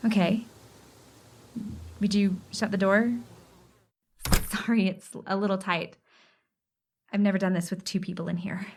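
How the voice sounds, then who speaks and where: An actress playing a stubborn, warm single woman lawyer in a romantic comedy radio play. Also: mid-range voice, level tone, unhurried, pauses often, a little hiss or hum, natural voice. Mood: gently instructive. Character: warm, stubborn single woman lawyer who loves old-fashioned photography.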